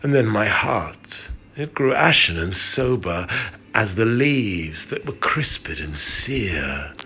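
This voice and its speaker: Male poetry reader: very low, unhurried, theatrical and solemn, on a slightly dull recording. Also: sing-song, gravelly, pushed and bright. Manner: solemn, theatrical, drawn-out delivery. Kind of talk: dramatic poetry recitation. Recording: muffled, with background hiss.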